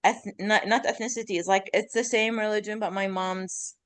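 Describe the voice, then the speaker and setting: A woman's voice: medium pitch, steady tone, moderate pace, clean tone, no echo. A woman in her thirties from Iraq whose first language is Arabic, chatting as a podcast guest in a rambling way, often correcting herself mid-sentence. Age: 30s. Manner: rambling, self-correcting, many fillers. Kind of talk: casual chat.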